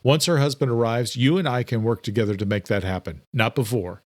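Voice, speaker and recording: Audiobook role for a male narrator: protective brother, blunt and flat, mid-pitched, very dry recording. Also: a little fast, no echo, lightly acted. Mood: firm.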